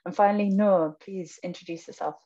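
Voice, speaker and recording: A woman's voice: medium pitch, fairly even intonation, brisk, warm tone, highs cut off. A woman co-chairing a panel, informally inviting guests to introduce themselves. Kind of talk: informal moderating.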